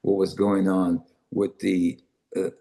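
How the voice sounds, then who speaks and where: An older man from Los Angeles, a guest author and publisher, answering interview questions with many pauses and fillers. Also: deep voice, monotone, warm tone. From Los Angeles, United States. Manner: halting, many "uh" fillers and restarts. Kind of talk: conversational interview answers, then reading aloud.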